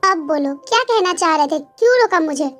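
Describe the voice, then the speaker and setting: A woman's voice: very high-pitched, expressive, a little fast, a bit bright. A woman voicing a jealous, sulky young wife in a romance story, snapping and sarcastic. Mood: questioning.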